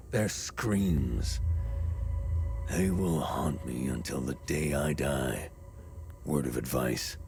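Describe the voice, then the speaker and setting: A bitter, devout middle-aged man worn down by war. The voice is low and gravelly, slow and grim, with expressive swings in pitch. It sounds like dramatic dialogue from a video game. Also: full character voice. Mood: embittered, haunted. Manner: grim, world-weary, deliberate.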